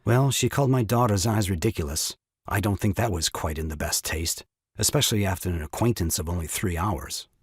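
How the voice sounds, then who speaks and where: A man's voice: low-pitched, brisk, slightly rough, dry recording, studio quality. An actor playing an indignant young father and lawyer in a stage farce, with pompous, emphatic, rhetorical speech. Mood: dry sarcasm. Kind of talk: stage farce audio drama acting.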